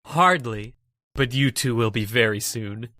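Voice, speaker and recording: An actor playing a scheming, cold-blooded murderer who hides behind a respectable front in an old-time radio horror drama. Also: male voice, medium pitch, measured pace, sharp tone. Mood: gloating menace.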